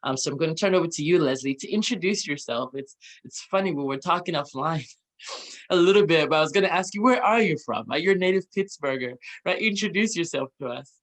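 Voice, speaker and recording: A man's voice: on the high side, lively intonation, a little fast, a bit bright. A man hosting an interview, chatty and informal, with many ums and "right?" tag questions. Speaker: host. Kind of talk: friendly interview introduction.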